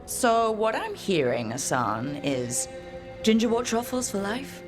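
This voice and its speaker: A woman playing a confident young team leader in a video game, calm and wry, with a steady delivery. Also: medium pitch, measured pace, over music. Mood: wry, amused. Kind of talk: dramatic video game acting.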